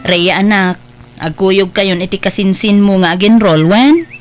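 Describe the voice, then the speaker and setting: A woman playing a kindly, caring mother in an audio drama, gentle and solicitous, with a Filipino accent. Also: medium pitch, measured pace, music in the background, lightly acted. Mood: caring, motherly.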